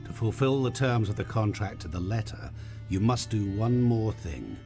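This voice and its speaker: An actor playing a genial, sinister demon posing as a merchant, courteous and quietly menacing in a video game cutscene. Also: male voice, lowish voice, lively intonation. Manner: speaks in slow, sing-song riddles. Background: orchestral game music.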